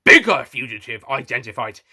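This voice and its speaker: A blunt alien police captain, acted by a man in an audio story barks clipped official orders. The voice is loud, bright and forceful. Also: medium pitch, big pitch swings, brisk, slightly rough, exaggerated voice. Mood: barking, official. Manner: clipped, official, barking phrases.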